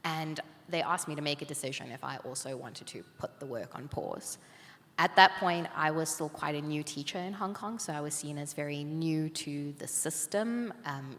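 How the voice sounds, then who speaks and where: A woman teacher speaking on a panel, telling a personal story in a reflective, measured way. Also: deep voice, a bit bright. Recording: long reverb of a conference hall.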